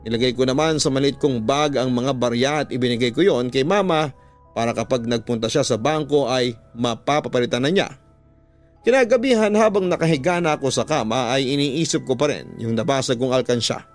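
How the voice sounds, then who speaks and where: A man's voice: mid-range voice, brisk. Filipino man narrating a story over music. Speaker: radio drama host narrating listener letters. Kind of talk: dramatic first-person scripted story narration. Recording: music bed behind the voice. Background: background music.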